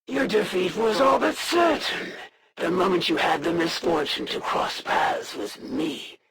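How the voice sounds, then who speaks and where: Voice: medium pitch, big pitch swings, unhurried, gravelly, fairly bright tone, full character voice. An actor voicing a proud, honor-bound alien assassin, a villain in a strategy video game, speaking in a formal, grandiose and solemn way with menace. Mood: haughty, threatening. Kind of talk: dramatic scripted game voice acting.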